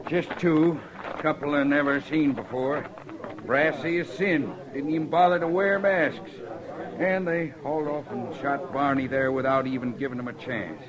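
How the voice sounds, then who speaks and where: An actor playing a rustic, indignant Old West stagecoach driver with plain folksy speech in a radio drama. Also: male voice, fairly high pitch, steady tone, moderate pace, natural voice. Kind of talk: 1950s western radio drama acting. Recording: lo-fi old radio, loud crowd behind.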